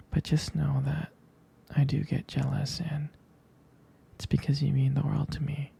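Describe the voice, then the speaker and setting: Male performer as a protective jealous boyfriend, ASMR roleplay, very husky and breathy, slow tender murmur, hesitant pauses. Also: on the high side, mellow, exaggerated voice. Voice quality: breathy, husky near-whisper. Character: jealous, protective, devoted young boyfriend soothing his partner.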